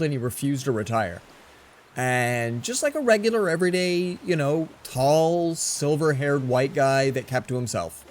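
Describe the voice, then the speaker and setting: A man's voice: medium pitch, a little hiss or hum. A man in his forties giving casual gameplay commentary, rambling and chatty as he tells stories between moves. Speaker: let's play host.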